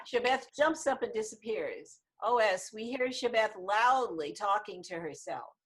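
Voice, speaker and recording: Unhurried woman reading stage directions. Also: medium pitch, animated, fairly bright tone. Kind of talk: scripted narration, screenplay table read. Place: online video call reading.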